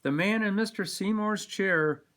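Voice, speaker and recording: A man reading a children's picture book aloud with expressive, dramatic emphasis. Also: high-pitched, measured pace. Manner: animated, dramatic delivery with sound-word emphasis. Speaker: storybook reader.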